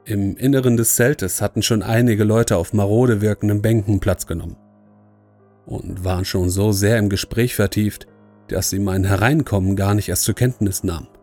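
A man's voice: lowish voice, fairly even intonation, normal speed, dark tone, faint music behind. A man reading a scripted first-person horror story as its narrator.

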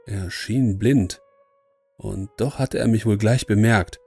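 A man's voice: on the low side, steady tone, slow, vocal fry, darkish tone, husky, soft background music. A man reading a scripted first-person horror story as its narrator.

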